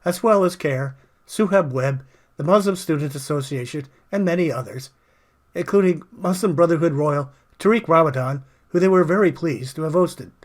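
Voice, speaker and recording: Higher-pitched man narrating commentary. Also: normal speed. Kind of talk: scripted political commentary narration.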